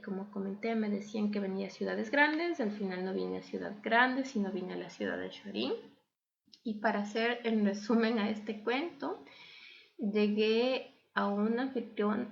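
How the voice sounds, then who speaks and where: A young adult woman with an Ecuadorian accent speaks conversationally as a guest sharing her story. Her voice sits at a medium pitch with heavy creak, and the recording is a little dull and lo-fi. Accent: Ecuadorian Spanish. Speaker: interview guest. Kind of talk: conversational personal storytelling and advice.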